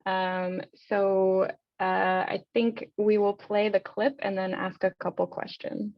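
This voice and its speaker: Female webinar moderator: gravelly fry, informal hesitant delivery, slightly lo-fi call sound. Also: medium pitch, level tone. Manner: halting, hesitant phrasing. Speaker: event host and moderator. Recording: slightly dull online call audio.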